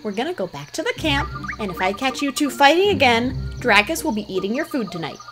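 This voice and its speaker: A woman's voice: fairly high pitch, very animated, a little fast. A woman playing a bossy, fiery cat-folk cleric in a fantasy audio drama, emphatic in manner. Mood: stern, bossy. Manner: scolding, emphatic. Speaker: amateur voice actor. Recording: loud music behind the voice.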